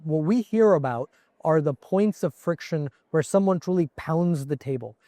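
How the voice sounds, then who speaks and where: A man's voice: fairly high pitch, moderate pace, dark tone, no room sound. A man from India, a company CEO, answering interview questions in an earnest, explanatory way with examples. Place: conference floor.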